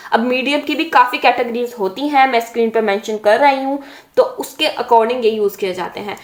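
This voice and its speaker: A young woman explaining makeup shades in a casual tutorial, chatty and full of hesitations. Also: on the high side. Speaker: beauty vlogger. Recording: echoey room.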